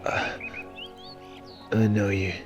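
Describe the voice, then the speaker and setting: A man playing a wounded, dying Marine captain in an audio drama, struggling to speak in halting, strained phrases with stoic reassurance. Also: lowish voice, flat delivery, over music. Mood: pained, resigned.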